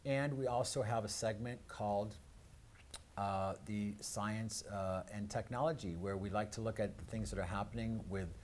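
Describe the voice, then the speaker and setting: A man's voice: fairly low pitch, steady tone, moderate pace, a little mellow. A man presenting a live talk show as host, in a hesitant, rambling way. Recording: clearly noisy live-stream room sound.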